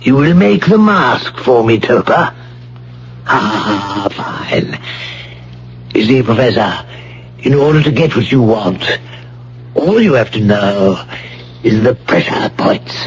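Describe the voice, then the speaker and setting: An actor playing a suave, condescending, menacing art thief and schemer in a radio drama. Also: male voice, mid-range voice, big pitch swings, slow, pauses often. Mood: smug. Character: smooth, menacing self-confessed male art thief and schemer. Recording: dull old radio broadcast with hum.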